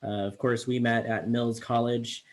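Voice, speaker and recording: A man's voice: mid-range voice, a little slow. A young man from the Bay Area, managing editor, casually hosting a virtual reading with chatty asides. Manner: chatty, relaxed, with fillers.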